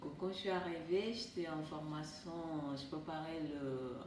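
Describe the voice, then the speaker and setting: A young woman from Portugal, a resident of a youth housing residence, chatting lively about her life there. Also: deep voice, moderate pace, music in the background. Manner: chatty and lively, laughs easily. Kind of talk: testimonial interview. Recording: echoey room, distant mic, background noise.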